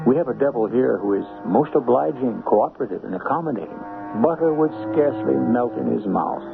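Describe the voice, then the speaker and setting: A man hosting an old-time radio mystery, narrating in a wry, deliberate, theatrical way. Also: medium pitch, vocal fry, phone-quality sound. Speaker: host. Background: music.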